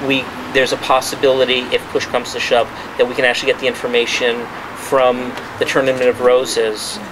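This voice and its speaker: A mid-pitched man talks through his findings at a press conference held in a restaurant. He is emphatic, often restarting and repeating himself as he argues. The background noise is clear under his voice. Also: level tone, brisk. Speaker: journalist giving a press conference. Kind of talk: spontaneous press-conference talk.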